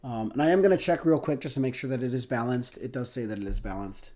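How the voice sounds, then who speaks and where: A man with a stuffy, congested voice talks students through a chemistry lesson, his pitch sitting right in the middle. He keeps it casual and conversational, pausing to check in with an easy "okay?" along the way. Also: dark tone. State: congested from a cold. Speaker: chemistry teacher. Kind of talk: recorded classroom lecture.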